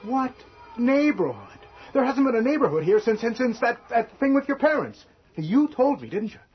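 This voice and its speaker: An edgy, husky husband, swinging widely. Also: male voice, very high voice, sing-song, normal speed, full character voice. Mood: agitated. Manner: agitated, hesitant, exclaiming. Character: tense, irritable male novelist, overbearing husband growing alarmed. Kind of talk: old-time radio drama acting. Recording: dull lo-fi radio drama recording.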